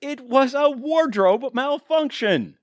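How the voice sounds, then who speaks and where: A man's voice: very high voice, expressive, slow pace, noisy background, heavily acted. A man acting a hapless, defensive middle-aged husband in a comedy audio drama, flustered and bumbling.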